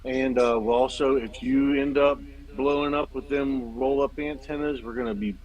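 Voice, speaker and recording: Male stream guest, medium-pitched voice, enthusiastic and buddy-like, loose conversational delivery. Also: fairly even intonation, normal speed, darkish tone, muffled recording. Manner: informal, chummy, enthusiastic. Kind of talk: casual chat.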